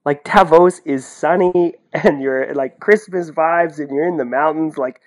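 A Canadian man giving casual, opinionated sports analysis in an animated, colloquial way, as a podcast co-host. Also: fairly high pitch, a little slow. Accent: Canadian English. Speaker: podcast co-host and ski commentator.

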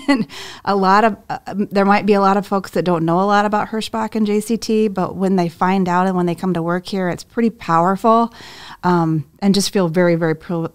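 A woman with a fairly low voice talks as a guest on a casual podcast. She sounds upbeat and appreciative, with a few ums along the way. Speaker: human resources leader, podcast guest.

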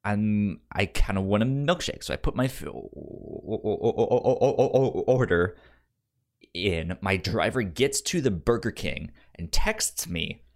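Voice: deep voice, lively intonation, a little slow. A podcast co-host with a stutter, repeating sounds and words while telling an informal story in casual chat and acting out the dialogue.

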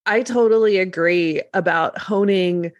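A middle-aged American woman chatting casually as a podcast co-host, her voice slightly gravelly after the flu, with a storytelling way of talking. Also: lowish voice, unhurried, no echo, muffled recording. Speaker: podcast co-host and lactation consultant.

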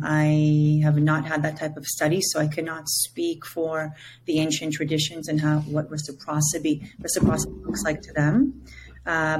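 A woman from Israel, a native Hebrew speaker with an Israeli accent, speaking slowly and searchingly as a podcast guest over a video call. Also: low voice, a little mellow, a little hiss or hum. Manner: slow, measured and searching, with many pauses and fillers. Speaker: guest, psychedelic integration coach. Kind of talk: reflective podcast conversation. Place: home with a window onto jungle.

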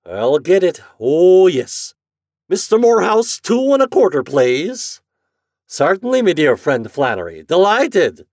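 A stubborn, grumbling Irish express agent acted out by a male narrator from a comic storybook. His folksy speech is emphatic and lifted high, swinging widely in pitch. The sound is close and dry. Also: huge pitch swings, unhurried, darkish tone, no room sound, heavily acted. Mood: sly, gleeful. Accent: stage Irish.

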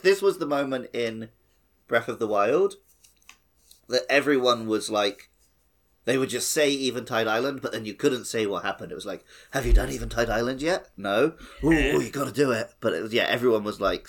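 Man with creaky voice, casual podcast chat. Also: medium pitch, fairly quick. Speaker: podcast co-host.

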